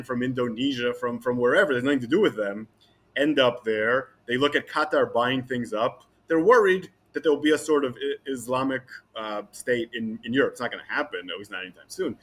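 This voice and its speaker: Male guest, husky voice, stop-start speech, mid pitch. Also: a bit bright. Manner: halting, many restarts and repeated words. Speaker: guest commentator. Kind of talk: interview conversation.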